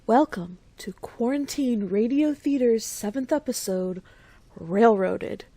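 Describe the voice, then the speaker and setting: A woman's voice: a little high, slow, mellow, breathy edge, no echo, exaggerated voice. An actress playing a sneaky, chatty woman secretly introducing a radio play in a conspiratorial, playful manner. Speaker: show introducer.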